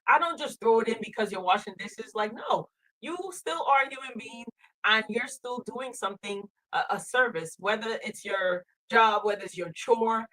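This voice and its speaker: A woman's voice: a little high, moderate pace, bright, forceful tone, poor audio. A middle-aged woman in casual conversation as a co-host, speaking earnestly and repeating phrases for emphasis. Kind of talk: casual chat.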